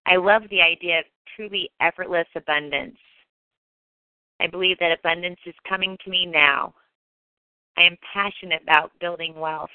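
Low, smooth woman guiding meditation, muffled recording. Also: no room sound. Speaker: meditation guide. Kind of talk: guided meditation affirmations, scripted. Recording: dull, band-limited close dry recording.

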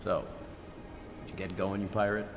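A man's voice: low-pitched, flat delivery, normal speed, lightly acted. Guy doing a cocky teasing pilot in a space radio play, lots of reverb, music under it. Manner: confident, teasing. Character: cocky, smooth-talking male space pilot, loyal friend. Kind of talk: radio drama acting.

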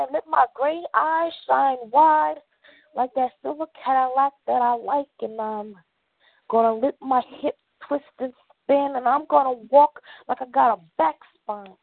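Higher-voiced woman performing confessional spoken word, gravelly edge. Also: measured pace, no room sound, narrow, tinny audio.

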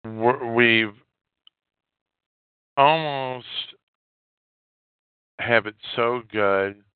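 A man hosting a solo podcast, giving unscripted political commentary in a halting way and repeating phrases for emphasis. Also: mid-range voice, smooth, no echo. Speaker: podcast host. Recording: muffled, tinny phone-like sound.

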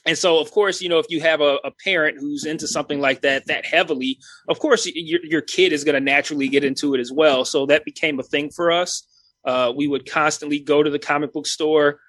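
A man's voice: a little high, fairly even intonation, brisk, fairly bright tone, no echo. A man in his thirties chatting casually as a podcast co-host, rambling with many restarts and fillers. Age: 30s.

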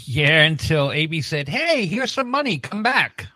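Guy co-host just chatting, kinda higher voice, lively, dry close sound. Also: measured pace, no room sound. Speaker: podcast co-host. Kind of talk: casual chat.

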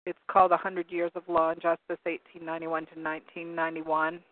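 A hoarse man with a slightly high voice hosts a call-in talk show, rambling and hunting for words as he reads from web pages. His voice comes through a narrow, phone-like line that sounds dry and close, with no room around it. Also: monotone, a little fast, no room sound. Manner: rambling, searching for words with many ums. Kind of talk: casual talk radio commentary, reading from web pages.

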